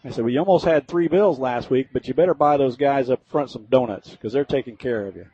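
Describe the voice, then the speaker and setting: A man's voice: mid-range voice, quick pace, husky, no room sound. A man giving casual, folksy color commentary on a football radio broadcast. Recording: slightly dull, lo-fi radio audio.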